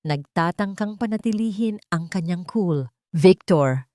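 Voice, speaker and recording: A Filipino woman narrates a dramatic love story in a slightly low voice. The recording is dry and close, with the delivery of a scripted audio drama. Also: lively intonation, moderate pace, a little mellow, dry recording.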